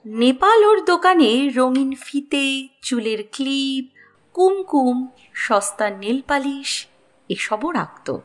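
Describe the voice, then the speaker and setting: A woman narrating a scripted story as its host, in an expressive storytelling style with music underneath. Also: sits high.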